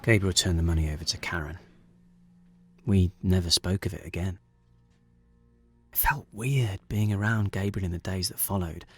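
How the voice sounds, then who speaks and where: A man's voice: low voice, moderate pace, long pauses, breathy edge, faint music behind. An actor playing a troubled, conscientious man stuck in a dead-end job, in an audio drama with first-person narration. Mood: subdued, reflective.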